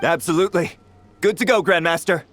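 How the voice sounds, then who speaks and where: An actor playing a dutiful, eager knight subordinate in a video game. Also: male voice, high voice, brisk, fairly bright tone, faint music behind. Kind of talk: English video game voice acting.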